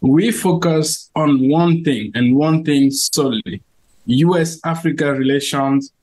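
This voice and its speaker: Cameroonian man, slightly high voice. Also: unhurried, a little mellow, rough recording. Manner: earnest, with frequent fillers and restarts. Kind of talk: interview answers.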